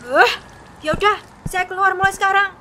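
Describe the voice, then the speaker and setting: A woman's voice: very high-pitched, normal speed, pushed and bright, slight background noise. A woman voicing a timid, underpaid kitchen worker who answers her boss meekly and grumbles to herself. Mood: resentful. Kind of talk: cartoon drama voice acting.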